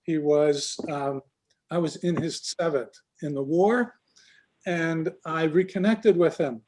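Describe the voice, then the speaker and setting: A man in his sixties tells his own story at a thoughtful, unhurried pace. His voice sits a little higher than most men's. He stops often to search for words, filling the gaps with soft hesitations. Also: steady tone, a little hiss or hum, poor audio. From Israel, raised in Canada. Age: 60s. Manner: reflective, halting with many fillers. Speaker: guest, business coach. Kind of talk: personal life story in conversation.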